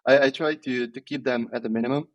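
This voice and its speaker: A man, answering interview questions in a thoughtful, hesitant way with many pauses and restarts. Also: medium pitch, fairly even intonation, highs cut off. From Italy. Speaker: podcast guest, software engineer.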